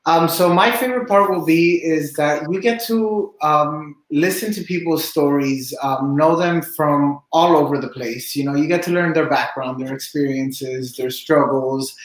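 A young man from Cuba answers interview questions in a friendly, chatty way, with lots of ums. His voice sits fairly high for a man. The room is echoey. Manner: upbeat, chatty, many fillers. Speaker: bartender and real estate agent.

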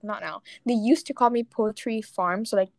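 A young woman co-hosting a podcast, chatting casually and telling quick stories with asides. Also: medium pitch, gravelly, dry recording, clean studio sound. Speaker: podcast co-host. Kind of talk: casual chat.

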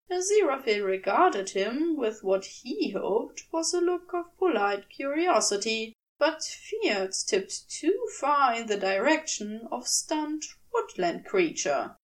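A woman reading fiction aloud as an audiobook narrator, in an expressive scripted storytelling style. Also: high voice, recorded from a distance.

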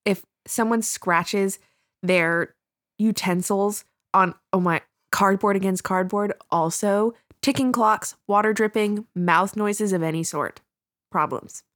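A woman's voice: mid-range voice, slow pace, fairly bright tone, dry recording. A young woman co-hosting a podcast on a studio mic, talking animatedly and laughing often. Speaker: podcast co-host. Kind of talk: casual chat.

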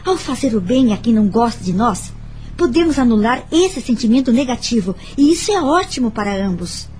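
An actress playing a reflective, forgiving woman telling her story in a radio drama, earnest and measured. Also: a little high, sing-song, a little mellow, a little hiss or hum. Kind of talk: radio drama acting with narration.

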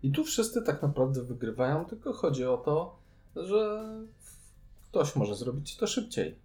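A man's voice: on the high side, sing-song, unhurried, dark tone, faint music behind. A man giving casual opinions as co-host of a board game show. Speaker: podcast co-host.

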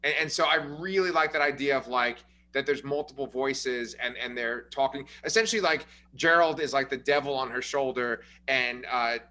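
A man's voice: medium pitch, moderate pace, few pauses, pushed and bright. A man co-hosting a casual movie review, eagerly agreeing and sharing his thoughts. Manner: eager, agreeing, thinking aloud. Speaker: podcast co-host.

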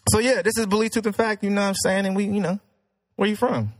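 A man's voice: sits high, fairly even intonation, no room sound, poor audio. A man hosting a casual chat, teasing and quizzing his guests. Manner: teasing, nosy, quick questions. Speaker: show host asking guests questions.

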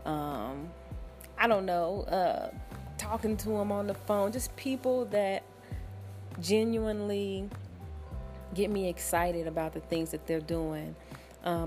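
A woman from Ohio hosting her own podcast at home late at night, talking casually in a soft, smooth voice with lots of fillers and small laughs. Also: on the low side. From Cincinnati, Ohio. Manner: relaxed, chatty, with frequent fillers and soft laughs. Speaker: podcast host. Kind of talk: casual solo podcast talk.

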